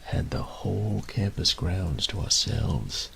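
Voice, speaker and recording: A man's voice: low-pitched, sing-song, slow pace, gravelly, a bit bright, in a whisper, full character voice. A man playing a carefree, thrill-seeking college roommate in an audio roleplay, talking in an easygoing, nonchalant way. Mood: breezy, defensive.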